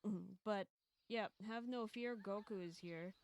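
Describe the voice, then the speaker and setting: A woman's voice: medium pitch, measured pace. A woman hosting a stream in casual chat, rambling and hesitating as she goes. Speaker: streamer hosting a group visual novel stream.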